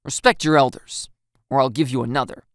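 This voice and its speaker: A woman's voice: a bass voice, fairly even intonation, brisk, no echo, clean studio sound. A woman voicing an embarrassed, grumpy teenage boy in a dramatized audio story, with a blunt, pouty, exasperated manner. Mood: blunt annoyance.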